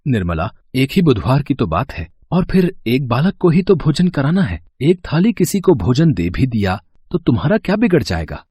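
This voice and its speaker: A man voicing a pious, gentle husband who reasons earnestly, in a storybook tale. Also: mid-range voice, big pitch swings, normal speed, hardly any pauses, slightly rough, no room sound, clean studio sound. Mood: persuading. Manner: earnest, persuasive.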